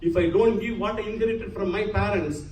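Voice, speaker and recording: A man's voice: high-pitched, steady tone, a little fast, a little mellow. A man giving an earnest religious lecture to a congregation, using many Sanskrit terms. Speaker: spiritual lecturer. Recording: echoey hall, distant mic, faint hum.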